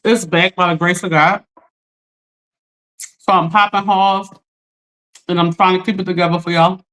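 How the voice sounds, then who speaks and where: A woman's voice: normal speed, poor audio. A woman hosting a casual livestream, talking straight to her chat while she gets over a lost voice. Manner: chatty, talks straight to chat, animated pitch jumps. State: recovering from lost voice. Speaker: livestream host.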